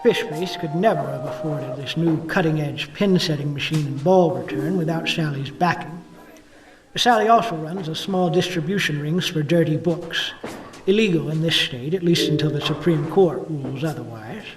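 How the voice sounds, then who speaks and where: An actor playing a cynical, world-weary police detective, narrating a noir radio play in a wry, hard-boiled way. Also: male voice, a little high, normal speed, recorded from a distance, close to an everyday voice, poor audio.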